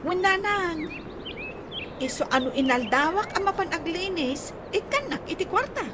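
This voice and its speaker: Woman acting a very high-pitched eager young Ilocano daughter. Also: lively intonation, moderate pace, noisy background. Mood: earnest. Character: young student daughter Kathleen, eager and dutiful. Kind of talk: radio comedy drama acting.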